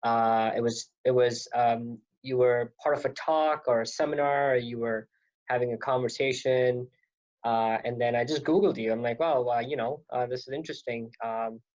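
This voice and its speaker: A man's voice: mid-range voice, steady tone, normal speed, a little mellow. Rambling man on dull lo-fi audio. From Pakistan. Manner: rambling, self-correcting. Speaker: guest. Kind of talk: casual interview talk.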